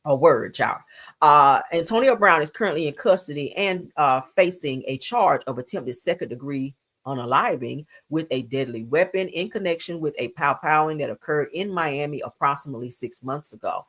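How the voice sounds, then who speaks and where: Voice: medium pitch, animated, normal speed, fairly bright tone. A host giving casual live news and gossip commentary in a sassy, blunt, colloquial way, often addressing listeners directly.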